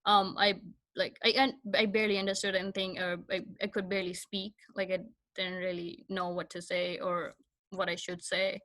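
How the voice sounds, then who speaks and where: A young woman from Pakistan whose first language is Punjabi, a guest speaking in a soft, hesitant way with many fillers. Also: mid-range voice, little pitch movement, normal speed. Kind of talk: casual interview chat.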